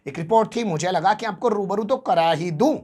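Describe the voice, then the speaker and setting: A man's voice: high voice, a little fast, darkish tone, breathy edge. Sarcastic male news commentator. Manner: sarcastic, rhetorical questions to the viewer. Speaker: news channel presenter.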